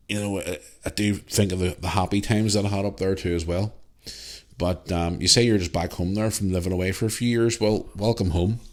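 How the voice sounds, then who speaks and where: Northern Irish man in his forties, solo podcast host, very low voice, rambling chat with fillers. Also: a little mellow. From Northern Ireland. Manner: rambling, chatty, with many fillers and restarts. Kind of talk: casual solo podcast, reading listener email.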